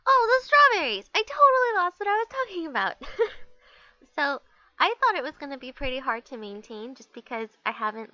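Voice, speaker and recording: A woman's voice: on the high side, pushed and bright, no room sound. A young woman with a stuffed-up, nasal voice, chatting casually and rambling with lots of fillers while she draws. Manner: bubbly, rambling, many fillers. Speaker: artist chatting while drawing. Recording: dull lo-fi recording.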